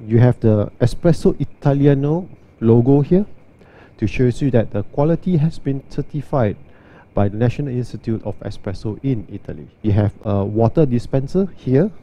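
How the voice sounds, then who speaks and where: Man, very mellow dark tone, step-by-step barista demo. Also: mid-range voice, highs cut off. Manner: steady, step-by-step instructional delivery. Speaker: barista demonstrating an espresso machine. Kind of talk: product walkthrough and demo.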